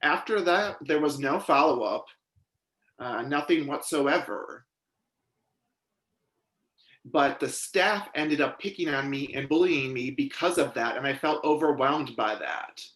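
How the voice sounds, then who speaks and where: A man giving steady, measured first-person voice interpretation of a deaf witness's testimony at a legislative hearing. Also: fairly high pitch, reverberant. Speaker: sign language interpreter voicing a deaf witness. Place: hearing room.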